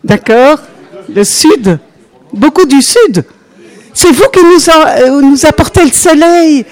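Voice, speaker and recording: Older Belgian nun teaching a group, slow and unhurried, chatty asides. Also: female voice, high-pitched, expressive, a little mellow. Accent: Belgian French. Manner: chatty, unhurried, peppered with "voilà", engages listeners. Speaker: consecrated religious sister giving a teaching. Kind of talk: informal religious teaching to a group.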